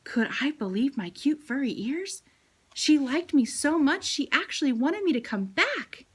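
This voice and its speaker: A woman's voice: sits high, expressive, a little slow, voice pushed up. A woman voicing a small, eager male pet hamster who tells his adventures in a playful storybook manner. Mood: amazed, happy.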